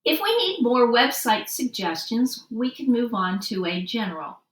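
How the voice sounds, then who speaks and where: A woman voicing a kindly, patient older male children's librarian in a storybook, with a gently humorous, helpful manner. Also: on the high side, sing-song, moderate pace. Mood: patient, instructive. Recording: roomy, distant microphone.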